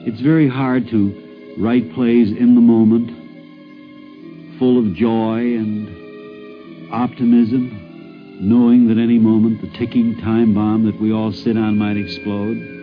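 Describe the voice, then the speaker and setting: Very measured man, thin radio, music beneath. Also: fairly low pitch, level tone, phone-quality sound. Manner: deliberate, grave, thoughtful. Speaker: interviewed writer. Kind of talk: reflective interview talk.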